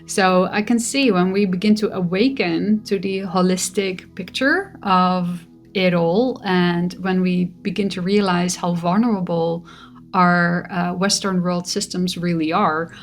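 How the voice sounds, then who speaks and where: Woman, slow and gentle, reflective spiritual vlog monologue over faint music. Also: on the low side, steady tone. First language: Dutch. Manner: gentle, musing, with soft laughs. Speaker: spiritual vlogger.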